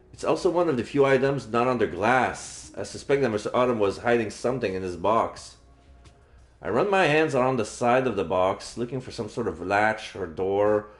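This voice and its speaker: Low-voiced man reading a game aloud, roomy. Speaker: streamer reading a visual novel aloud. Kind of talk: live playthrough narration, reading aloud. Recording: roomy echo, game music behind.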